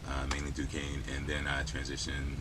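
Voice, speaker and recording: An outgoing, chatty man from western Pennsylvania with an extremely deep voice that he speaks quickly. A clear layer of background noise sits under the studio recording. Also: completely flat delivery. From Pittsburgh area, USA. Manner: outgoing, talkative, upbeat. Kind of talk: casual interview. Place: small studio.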